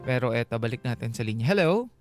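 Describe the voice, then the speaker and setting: A man's voice: medium pitch, lively intonation, fast, darkish tone. A Filipino man hosting a casual radio advice show, conversational and admonishing as he reads comments and counsels. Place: radio studio. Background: background music.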